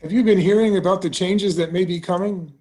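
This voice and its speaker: Man acting a genial bank president, higher-set voice. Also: fairly even intonation, a little fast, a little mellow, close to an everyday voice. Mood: thoughtful, cautious. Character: genial, seasoned small-town bank president. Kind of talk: musical theatre table read.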